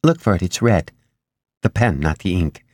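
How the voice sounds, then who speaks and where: A man voicing a flighty, pedantic, argumentative woman in an audiobook, brisk and easily distracted. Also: deep voice, very animated, darkish tone, no room sound, studio quality. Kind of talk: audiobook character dialogue.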